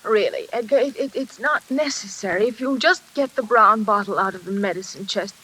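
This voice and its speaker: An actress playing an ailing wife, gently insistent, with halting, pleading delivery in 1940s radio style. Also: female voice, medium pitch, breathy edge, low-quality recording. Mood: weak, protesting. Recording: old radio recording under loud music.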